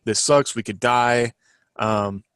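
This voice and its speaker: A man in a casual podcast chat as a fiction writer, talking in a rambling, halting way as he thinks aloud. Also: medium pitch, fairly bright tone, smooth, dry recording, studio quality.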